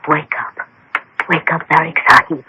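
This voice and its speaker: A performer playing the protective, pleading sibling of a fortune teller in a radio drama, speaking formally and gently. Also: medium pitch, completely flat delivery, moderate pace, lightly acted. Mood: protective, urgent. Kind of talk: 1970s-80s radio drama acting. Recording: noisy old radio broadcast with clicks.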